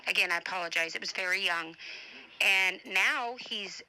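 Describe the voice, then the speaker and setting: Woman with a very bright, forceful voice. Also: medium pitch, moderate pace, clear, resonant tone, rough recording. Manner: emphatic, defensive, repeats points for emphasis. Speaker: family advocate and show host. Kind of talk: informal personal voice message.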